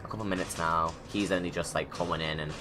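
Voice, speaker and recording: A man's voice: low-pitched, steady tone, fast talker, over music. A man giving casual, informal livestream commentary, rambling and thinking aloud as he goes. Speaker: video creator giving commentary.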